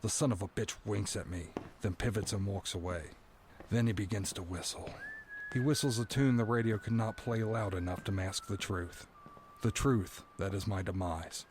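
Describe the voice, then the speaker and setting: An actor playing a bitter, doomed prisoner who narrates a first-person audio drama with brooding, dramatic phrasing. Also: male voice, lowish voice, normal speed, noisy background, close to an everyday voice. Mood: bitter, despairing.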